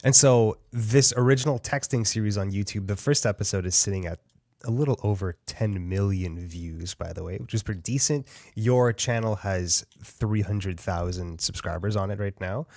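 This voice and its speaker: Low-voiced audiobook podcast host. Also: male voice, moderate pace, a little mellow, dry recording. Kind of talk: casual interview.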